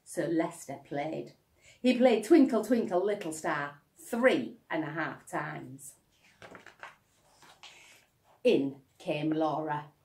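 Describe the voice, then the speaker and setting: Woman, storybook narration, lively intonation, slow pace, echoing room, a bit far from the mic. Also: low-pitched. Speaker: teacher reading a story. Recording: echoey room, slightly distant microphone.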